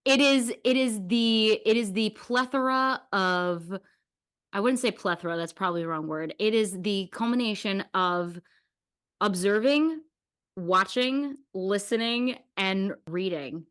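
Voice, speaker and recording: A woman's voice: mid-range voice, measured pace, a bit bright, clear, resonant tone. A woman hosting a podcast, chatting casually in an effusive, informal way. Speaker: podcast host.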